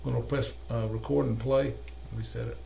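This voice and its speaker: An older man talks through a technical demonstration step by step. His voice is on the low side of medium with a fair amount of fry and gravel. He is recorded in a workshop with clear background noise. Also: steady tone, brisk. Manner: methodical, step-by-step explaining. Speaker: repair technician demonstrating calibration. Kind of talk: hands-on tutorial narration. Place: cluttered indoor electronics workshop. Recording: noisy workshop room recording.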